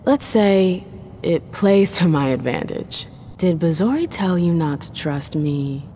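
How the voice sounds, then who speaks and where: A woman's voice: on the low side, animated, takes it slowly, warm tone, a little hiss or hum. A woman playing a cool, world-weary vampire club owner in a video game, sardonic and self-assured.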